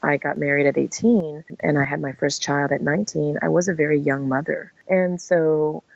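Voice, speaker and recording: A woman in her forties from Vietnam with a low, gravelly voice. She talks earnestly and reflectively, pausing on fillers now and then. The sound is dry and slightly muffled, like a lo-fi remote recording. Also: steady tone, dry recording. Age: 40s. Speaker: guest. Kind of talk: interview answers.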